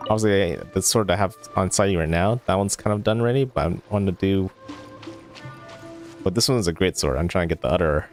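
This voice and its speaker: A man's voice: low voice, brisk, darkish tone. A man livestreaming a video game, giving casual, rambling commentary as he thinks aloud. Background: game music.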